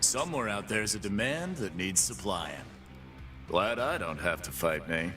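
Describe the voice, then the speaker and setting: An actor voicing a cocky, brawling male fight-pit boss in game voice lines, full of gruff, boastful trash talk, with game music behind. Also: low-pitched, expressive, a little slow.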